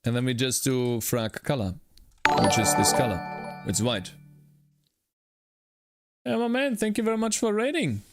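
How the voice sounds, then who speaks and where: A man's voice: mid-range voice, sing-song, normal speed, long pauses, loud music behind the voice. A man streaming his coding work, talking through his steps and greeting chat viewers by name. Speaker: livestreaming game developer.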